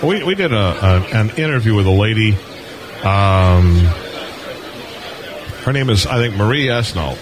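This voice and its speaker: A man's voice: on the low side, expressive, measured pace, pauses often. A man hosting a casual live radio interview, curious and thinking aloud as he talks. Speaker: radio show host, interviewer. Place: busy indoor convention hall booth. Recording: busy convention floor crowd noise. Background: crowd chatter.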